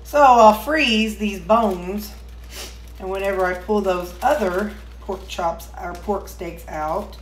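Slow-talking folksy woman in echoey kitchen. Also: medium pitch, a little hiss or hum. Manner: folksy, rambling. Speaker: home cook vlogger. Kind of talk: casual chat while demonstrating cooking. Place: indoor home kitchen. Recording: roomy, echoey kitchen sound.

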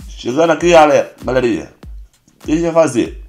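A Brazilian man with a hoarse, congested voice, chatting casually through a game tutorial as a YouTuber. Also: a little high. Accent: Brazilian Portuguese. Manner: relaxed, chatty, constant filler address to viewers. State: hoarse, stuffy nose and cough. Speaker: gaming YouTuber.

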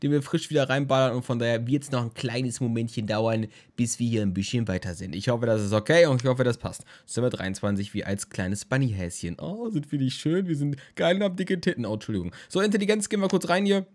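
A man's voice: mid-range voice, lively intonation, fast, hardly any pauses, darkish tone. Tired young man, rambling game commentary. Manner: rambling, jokey, crude humor. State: tired, just woke from a nap. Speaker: let's play host.

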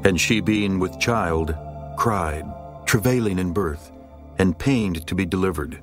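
A man narrating a dramatized scripture reading in a steady, measured way. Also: low voice. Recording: narration over background music.

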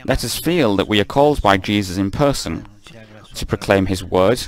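A man giving a live spoken translation of a homily, measured, with frequent stumbles and self-corrections. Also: fairly low pitch, expressive.

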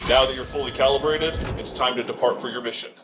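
A man's voice: medium pitch, fairly even intonation, fast talker, background noise, lightly acted. A man voicing a serious, authoritative older military captain giving mission orders in a video game. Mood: firm. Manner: authoritative, urgent. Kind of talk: scripted game mission briefing.

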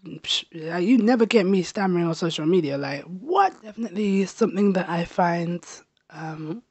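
A woman in her thirties, talking to camera as a travel vlogger. She speaks casually, stammers with repeats and restarts, and sounds a bit raspy from getting over a sore throat. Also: on the low side, animated, normal speed, a little mellow, no room sound, dull audio. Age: 30s. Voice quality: slightly raspy. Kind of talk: casual personal talk to camera. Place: small bedroom, indoors. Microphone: handheld lapel mic held near the mouth.

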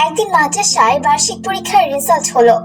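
A woman's voice: sits high, lively intonation, fast talker, distant mic, over music. A woman reading a scripted moral story for children as narrator.

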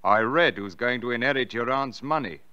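A man's voice: medium pitch, moderate pace, pushed and bright, clean tone, natural voice. An actor playing a menacing, sly man out to trap a killer in a radio drama, blunt and taunting. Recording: slightly dull, lo-fi audio.

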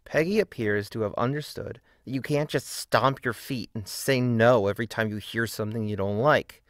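Male video essayist, medium pitch, emphatic and wry, opinionated scripted read with casual asides, dry close-mic sound. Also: normal speed, dry recording.

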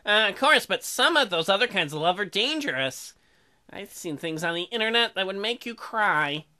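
A man's voice: sits high, animated, moderate pace, pushed and bright, full character voice. A man voicing an earnest, bashful young farmer husband from a dating game, hesitant and awkward.

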